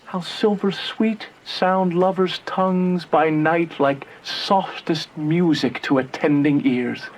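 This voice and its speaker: Young romantic nobleman, slow poetic shakespeare delivery, radio drama, totally lovestruck. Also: a little high, low-quality recording. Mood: tender, lovestruck. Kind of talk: classical Shakespearean verse acting in radio drama.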